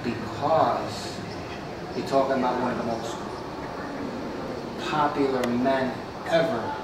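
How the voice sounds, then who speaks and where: Casting: middle-aged American male interview guest, earnest and emphatic, narrow pitch range, recorded off-mic in a noisy, echoing room. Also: fairly even intonation, takes it slowly, far from the mic. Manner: earnest, emphatic, checks listener understands. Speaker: interview guest, author and sports executive. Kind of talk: conversational interview. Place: glass-fronted stadium suite, indoors.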